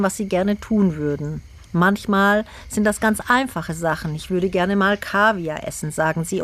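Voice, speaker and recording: A woman narrating a radio feature in a calm, personal, questioning way. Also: medium pitch. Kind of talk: scripted reflective narration. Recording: studio narration over music.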